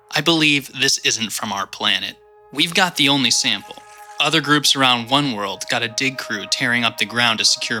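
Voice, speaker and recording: A man voicing a stern, proud male squad commander who talks in a gruff, commanding way. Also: mid-range voice, sharp tone.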